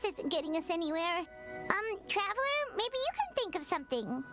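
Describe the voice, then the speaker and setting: A woman voicing a tiny, fretful female companion creature in a game, with a very high, squeaky voice and a quick, exasperated manner. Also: sing-song, a bit bright, heavily acted. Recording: game audio with music behind.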